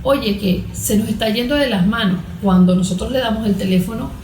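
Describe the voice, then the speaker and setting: A woman's voice: fairly high pitch, a little fast, a little mellow, a little hiss or hum. A woman with a Venezuelan accent explaining as an expert guest in a conversational interview, didactic, posing questions and answering them. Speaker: guest expert, cognitive therapist. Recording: echoey room.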